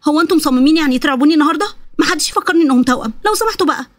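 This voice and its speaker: A woman's voice: high voice, lively intonation, rapid-fire, dry recording, studio quality. A woman voicing an anxious, exasperated young pregnant wife with an Egyptian accent, emotional and complaining. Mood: nervous. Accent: Egyptian Arabic.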